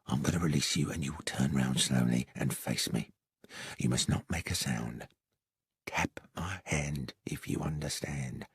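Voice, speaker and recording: A furtive policeman, voiced by a male narrator in a detective audiobook. He is hushed and conspiratorial, clipped and wry, with a low, husky voice. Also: expressive, normal speed, no room sound, clean studio sound, heavily acted. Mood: hushed command. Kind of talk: audiobook character acting.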